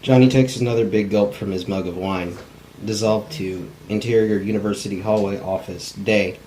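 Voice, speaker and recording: A man's voice: on the low side, steady tone, normal speed, warm tone, a little hiss or hum, low-quality recording. A man reading a script's stage directions as narrator, in the plain manner of a non-actor. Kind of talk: scripted narration at a table read.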